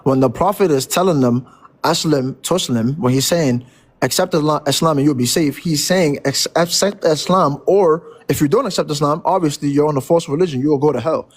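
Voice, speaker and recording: Insistent man debating, fairly high voice. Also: fairly quick. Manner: insistent, repeats himself, protests interruptions. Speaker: debater defending Islam. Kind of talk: unscripted religious debate.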